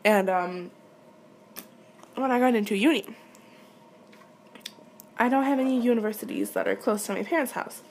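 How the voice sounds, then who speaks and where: A woman's voice: on the high side, normal speed, pauses often, slight background noise. A young adult woman from Quebec whose first language is French, chatting casually and hesitantly in a candid, giggly, rambling way. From Quebec, Canada. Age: late teens, young adult. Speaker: audio creator answering listener questions.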